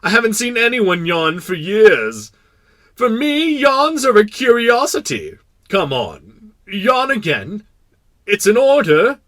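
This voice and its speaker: A man voicing a proud, kindly but pompous king in a storybook, making imperious declarations with drawn-out words. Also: high voice, big pitch swings, fairly bright tone, dry recording, voice pushed up, exaggerated voice. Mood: imperious, eager. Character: proud, kindly, pompous absolute monarch of a tiny planet.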